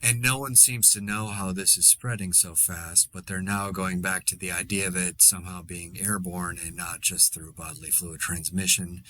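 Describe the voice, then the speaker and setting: A man plays an exasperated survivor podcasting through a zombie outbreak. His voice sits a little low, with a bright, forceful edge. He rambles conversationally in a dry, sarcastic way, as in a fictional solo podcast. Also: fairly even intonation, normal speed, few pauses, a little hiss or hum. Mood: wry, weary. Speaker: podcast host.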